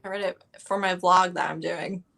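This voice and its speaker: A woman's voice: fairly low pitch, steady tone, moderate pace, gravelly, sharp tone. A woman guest chatting casually about books, very informal and sprinkling "like" through her sentences. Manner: informal, peppered with "like". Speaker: guest on a book readalong livestream. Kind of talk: casual chat.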